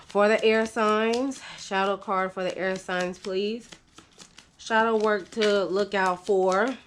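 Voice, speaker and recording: A woman, congested and nasal from a cold, giving an unscripted tarot reading in a slow, halting way with many fillers. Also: mid-range voice, fairly bright tone, slight background noise. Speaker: tarot reader.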